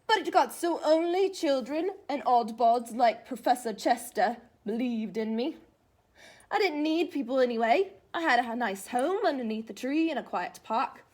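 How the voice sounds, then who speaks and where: Storybook leprechaun cobbler, small, old and male female reader, high voice, feisty, proud, folksy boasting. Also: expressive, moderate pace, darkish tone. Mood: proud, storytelling. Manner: boastful, folksy Irish-flavored storybook phrasing.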